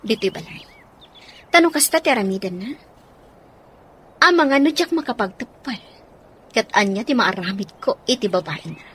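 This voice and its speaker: A woman with an Ilocano accent acting as a long-suffering betrayed wife and mother in a radio drama, her voice slightly husky. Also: mid-range voice, expressive, moderate pace, pauses often, a bit bright, slight background noise, lightly acted. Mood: hurt, resigned.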